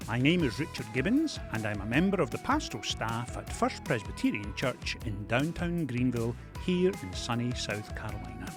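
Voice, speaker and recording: A man, a pastor, hosting a church podcast with announcements and gentle jokes. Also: medium pitch, normal speed, few pauses, over music. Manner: animated, gently joking delivery.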